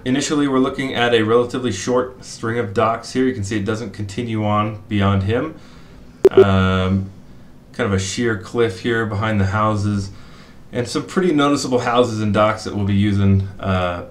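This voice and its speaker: Middle-aged guy walking through a screen share, kinda low voice, lots of um and uh, echoey room. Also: slight background noise. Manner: explanatory, thinking aloud with fillers. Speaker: fishing spot analyst. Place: small room at a desk.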